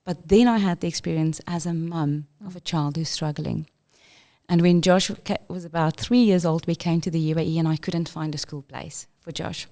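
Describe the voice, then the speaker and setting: Middle-aged South African woman, podcast guest, lower pitch, relaxed warm tone, chatty and fast. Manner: quick, animated storytelling with self-corrections. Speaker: podcast guest, inclusion leader. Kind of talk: conversational interview.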